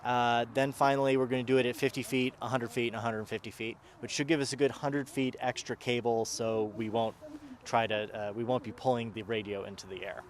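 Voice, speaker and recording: A man giving a casual live demonstration outdoors, explaining in a halting way as he thinks aloud. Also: mid-range voice, fairly even intonation. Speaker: presenter and drone operator of a radio experiment. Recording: outdoor recording with clear background noise.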